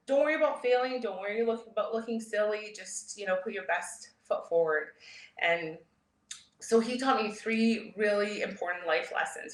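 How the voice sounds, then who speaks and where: A woman's voice: medium pitch, fairly bright tone. A woman from Canada, born in El Salvador, giving an informal keynote with personal stories in a candid, chatty manner. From grew up in Edmonton, Canada; born in El Salvador. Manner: candid, chatty, often chuckling. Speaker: keynote speaker, startup founder. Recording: roomy, echoing sound.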